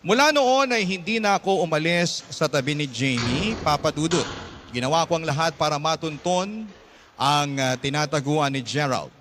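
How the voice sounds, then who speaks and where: A man's voice: a little high, animated, normal speed, noisy background. A man narrating a letter-style story in an earnest, emotional manner. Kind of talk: scripted drama narration. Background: loud background music.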